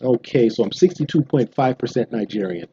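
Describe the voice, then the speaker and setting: Mellow-voiced Canadian man vlogging at home. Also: medium pitch, brisk, lo-fi sound, slight background noise. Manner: relaxed, explanatory, frequent um and restarts. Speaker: genealogy vlogger. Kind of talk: casual direct-to-camera vlog. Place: small bright room indoors, seated at home.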